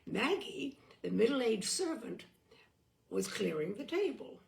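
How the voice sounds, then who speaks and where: A woman reading a literary short story aloud in an expressive, measured narrating style. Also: on the high side, gravelly. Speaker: story reader.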